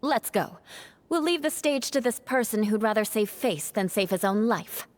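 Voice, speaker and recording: A woman as a haughty, high-voiced young noblewoman. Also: expressive, moderate pace, close to an everyday voice. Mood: exasperated. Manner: haughty, sarcastic, clipped. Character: proud young noblewoman knight, sardonic and prickly. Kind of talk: video game cutscene acting.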